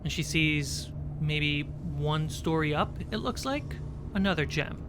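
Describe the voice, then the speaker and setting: A game master narrating a tabletop game in a deliberate, halting way, pausing while setting the scene. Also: mid-range voice, lively intonation. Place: online livestream video call. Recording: clearly noisy background.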